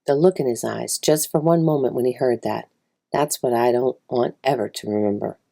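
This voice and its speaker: Lady as a sad plainspoken wolf mom telling a grim story, low breathy voice, bright and intense. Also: normal speed, heavily acted. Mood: sorrowful, haunted. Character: mother wolf telling of her husband's horrifying change, anguished, plainspoken. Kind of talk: first-person dramatic story reading.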